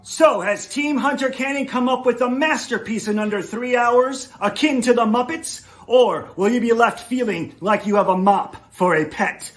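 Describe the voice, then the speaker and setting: A man voicing a theatrical, nosy fly who reports comically with drawn-out, emphatic phrasing. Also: extremely high pitch. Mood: intrigued. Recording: music under the voice.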